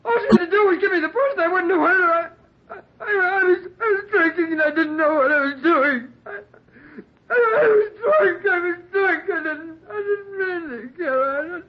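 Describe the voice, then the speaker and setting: An actor playing a sullen, evasive murder suspect in a 1940s radio crime drama. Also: male voice, extremely high pitch, normal speed. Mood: sobbing. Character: cornered male murder suspect, defensive and evasive. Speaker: suspect in police interrogation. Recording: dull lo-fi old radio broadcast.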